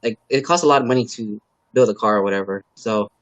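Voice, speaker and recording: A man from Los Angeles, a native Khmer speaker, talking casually as an interview guest in a halting, filler-heavy way. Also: fairly low pitch, no room sound, muffled recording. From Los Angeles, USA. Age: adult parent. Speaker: guest, car builder and YouTuber.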